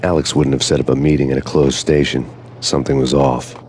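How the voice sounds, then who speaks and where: A man voicing a grim, hardboiled male cop who narrates in a deadpan noir style. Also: very deep voice, fairly quick, a little hiss or hum. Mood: brooding. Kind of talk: game voice-over narration.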